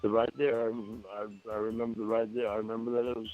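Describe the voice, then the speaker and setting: A man answering questions in an interview, explaining himself haltingly and repeating his words. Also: lowish voice, level tone, a little mellow. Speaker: suspect being questioned. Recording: dull lo-fi recording with faint music.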